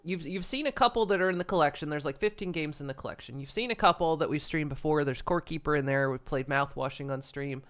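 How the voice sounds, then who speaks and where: A man's voice: a little high, fast talker, few pauses, fairly bright tone. Congested man chatting on stream. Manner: rambling, frequent chuckles and restarts. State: congested, stuffy nose. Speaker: livestreamer. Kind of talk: casual stream chat. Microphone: desk microphone.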